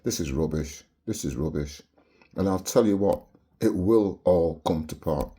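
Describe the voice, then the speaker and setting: A man's voice: sits low, measured pace, warm tone, breathy edge. A Barbadian man delivering an impassioned, preachy monologue to camera, peppering his points with rhetorical "yeah?" tags. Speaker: vlogger.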